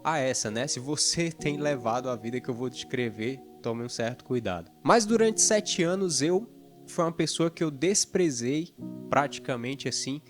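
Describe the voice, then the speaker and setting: A man from northeastern Brazil, a video editor telling his personal story to his channel's viewers in an earnest, conversational way, pausing often with fillers. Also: medium pitch, lively intonation. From Fortaleza, Ceará, Brazil. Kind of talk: personal testimony to camera. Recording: music playing underneath.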